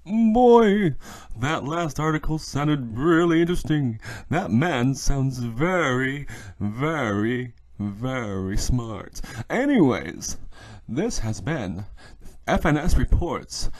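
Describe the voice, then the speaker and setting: A man's voice: medium pitch, big pitch swings, slow, no echo. A man hosting a scripted fictional news podcast, with playful, mock-naive reactions between segments. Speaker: podcast host. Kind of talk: light scripted presenting of a fictional news show.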